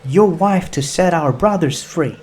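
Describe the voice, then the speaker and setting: Man voicing a high-pitched, defiant young woman hijacker. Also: measured pace, darkish tone, close to an everyday voice. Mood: bitter, impassioned. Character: angry twenty-year-old woman hijacker, bitter and defiant.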